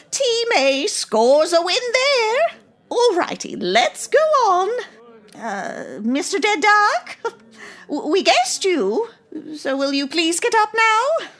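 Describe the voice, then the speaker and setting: A woman playing a bubbly, bossy older grandmother hosting a party, husky and gushing, in old-time radio comedy style. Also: very high-pitched, a bit bright, exaggerated voice. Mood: excited, gushing. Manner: gushing, chatty.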